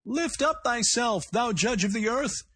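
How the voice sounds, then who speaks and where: A man voicing a male fanatic who shouts scripture in a high, strained, cracking voice. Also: lively intonation, husky, exaggerated voice. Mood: fervent. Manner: archaic scripture chanted slowly and fervently.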